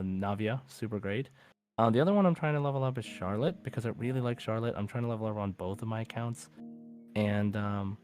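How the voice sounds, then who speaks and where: A man's voice: fairly low pitch, darkish tone. A man hosting a casual gaming podcast, talking in an enthusiastic, rambling way. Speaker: podcast host.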